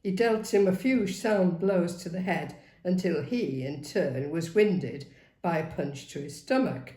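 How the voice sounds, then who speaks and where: A woman reading a novel aloud as dramatic, expressive narration. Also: on the low side, unhurried. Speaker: story reader. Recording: roomy, echoing sound.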